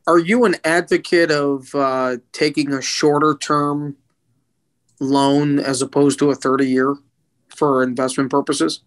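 A man's voice: a little high, little pitch movement, a little slow. A man attending an online seminar, asking a short, polite question over a video call.

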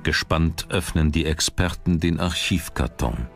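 A man with a very deep voice narrates a documentary. His delivery is scripted, measured and built for dramatic storytelling. Music plays clearly behind him.

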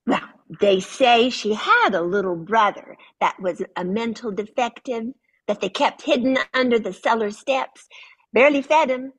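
A woman's voice: on the high side, moderate pace, husky, rough recording. A woman reading the part of a blunt, gossipy grandmother at a funeral, over a video call. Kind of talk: zoom radio-play reading.

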